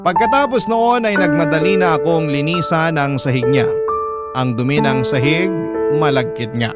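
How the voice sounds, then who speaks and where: An earnest, anxious storyteller voiced by a man narrating a radio drama in the first person. The voice is fairly high and sits over loud music. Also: normal speed, lightly acted. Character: earnest, anxious daughter recounting her story.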